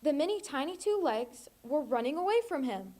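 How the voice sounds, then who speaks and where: A woman's voice: high-pitched, very animated, normal speed, dark tone. A teenage young woman reading a children's storybook aloud. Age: teens. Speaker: volunteer storybook reader.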